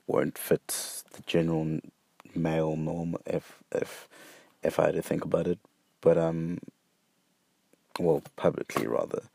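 A man's voice: deep voice, gravelly, a little mellow, no echo. A young man hosting his own podcast, talking earnestly and hesitantly, stumbling over repeated words and fillers. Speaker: podcast host. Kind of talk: reflective solo podcast monologue.

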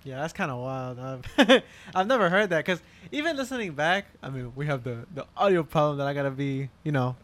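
A man's voice: fairly high pitch, animated, fairly quick, hardly any pauses, fairly bright tone. A young man co-hosting a podcast, laid-back and playful, often laughing, sitting close to a table mic. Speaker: podcast co-host. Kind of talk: casual podcast banter. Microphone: table mic, sits close.

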